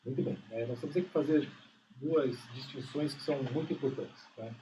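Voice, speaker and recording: A man's voice: medium pitch, moderate pace, mellow. A man with a Brazilian accent, speaking politely as an expert guest in a radio interview. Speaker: labour lawyer, interview guest. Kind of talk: polite explanatory interview talk. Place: radio studio. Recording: distant, dull mic, noisy background.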